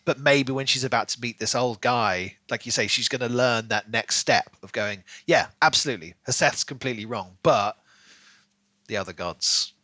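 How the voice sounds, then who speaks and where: Male podcast co-host, bright forward voice, dry close sound, casual and musing book chat. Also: medium pitch, moderate pace, dry recording.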